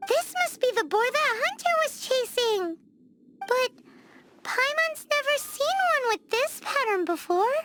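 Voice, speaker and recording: Tiny fairy companion, worried and exclaiming, very high lively voice, slow pace, loud music. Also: female voice, heavy background noise, exaggerated voice. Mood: curious. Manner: worried, exclaiming, talks about herself in third person. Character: tiny floating fairy companion girl, chatty and fretful. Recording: game audio with loud music.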